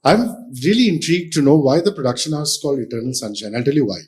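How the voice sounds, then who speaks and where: A man's voice: medium pitch, very animated, fast talker, darkish tone, breathy edge. A man hosting a celebrity interview, effusive and full of compliments. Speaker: interviewer host.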